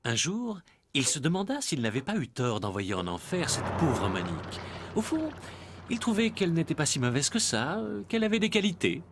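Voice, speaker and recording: A man playing a wry, teasing storyteller who tells a folk tale in an animated cartoon. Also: a little high, normal speed, background noise. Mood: narrating.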